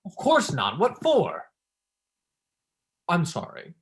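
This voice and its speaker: A man's voice: high voice, huge pitch swings, slow, exaggerated voice. A man playing a flippant, self-centred academic in a staged play reading, glib and dismissive. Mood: glib, curt. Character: Bernard, flippant, self-centred male academic making his escape.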